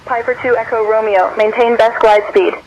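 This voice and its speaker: A woman's voice: on the high side, steady tone, normal speed, lo-fi sound. A synthetic woman's voice playing an unruffled air traffic controller, giving formal instructions over a radio. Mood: composed, formal.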